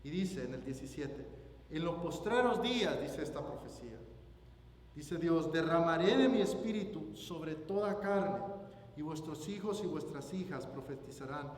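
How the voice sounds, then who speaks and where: A man with a Guatemalan Spanish accent preaches a sermon, phrasing it deliberately and emphatically and repeating phrases for weight. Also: on the high side, animated. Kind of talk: sermon with scripture reading and personal anecdote. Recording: roomy echoing hall, background noise.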